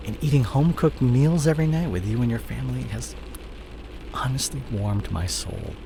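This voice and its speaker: A man's voice: mid-range voice, moderate pace, gravelly, warm tone, slight background noise, lightly acted. A man playing a fallen, evasive CEO boyfriend in an intimate, dramatic roleplay audio drama. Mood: tender, confessing. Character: cheating fallen CEO boyfriend, smooth-talking, evasive, image-obsessed.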